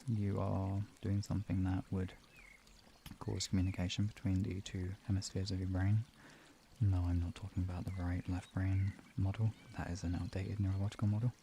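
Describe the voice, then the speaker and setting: A man with a lowish, creaky voice chats casually as he hosts a live stream. He wanders through his thoughts and often leaves them unfinished. The tone is soft-edged and dark, with slight noise behind him. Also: level tone, normal speed. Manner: informal, rambling, trailing off mid-thought. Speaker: live stream host and relaxation guide. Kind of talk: casual, improvised live-stream chat with viewers.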